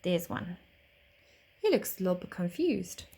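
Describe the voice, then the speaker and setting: A woman narrating a children's story expressively, like a teacher reading aloud, with dramatic, deliberate phrasing. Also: fairly low pitch, big pitch swings, creaky, mellow, slight background noise.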